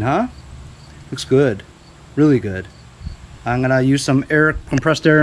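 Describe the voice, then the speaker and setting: A man chatting casually in a laid-back, rambling, slangy way as he shows his repair work in a garage. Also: fairly high pitch. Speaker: DIY small-engine repair vlogger. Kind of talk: casual chat while showing repair work. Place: cluttered home garage with open door.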